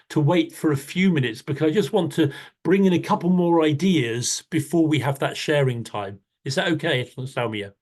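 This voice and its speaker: A man leads an online storytelling workshop, talking in a warm, thoughtful way and often restarting his sentences over a video call. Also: on the high side.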